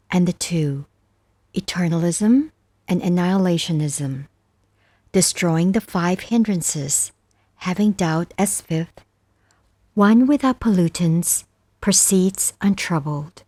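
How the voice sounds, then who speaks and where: A woman reading scripture as scripted narration, reciting verses with measured, deliberate phrasing. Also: lowish voice, mellow, no echo. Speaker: narrator of a Buddhist scripture audiobook.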